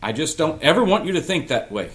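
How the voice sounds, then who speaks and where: A middle-aged man playing a protective, firm and caring mentor in an audio drama read-through, with an emphatic, deliberate delivery. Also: fairly high pitch, very animated, fairly bright tone. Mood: intense, caring. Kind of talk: audio drama cast reading.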